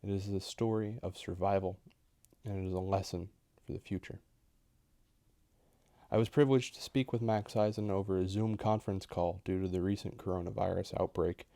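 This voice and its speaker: A man's voice: deep voice, steady tone, normal speed, creaky, warm tone, dry recording. A young man working as an interviewer, delivering a scripted introduction in an interview style. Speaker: interviewer, history graduate student. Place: indoors, recorded for a video call interview.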